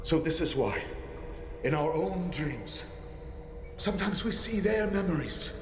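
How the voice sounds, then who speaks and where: An actor playing a fanatical, grief-maddened cult leader in a video game, delivering a philosophical, declamatory monologue. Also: male voice, on the high side, expressive, a little slow. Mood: morbid musing. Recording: echoey space, loud ambient noise.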